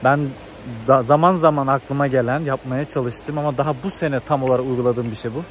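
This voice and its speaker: A man's voice: mid-range voice, fairly even intonation, a little fast. A man, chatting informally to camera as a travel vlogger outdoors. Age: 20s. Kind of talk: casual chat to camera. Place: outdoors on trails and in a village. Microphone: phone or action camera at arm's length. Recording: outdoor ambience and background noise.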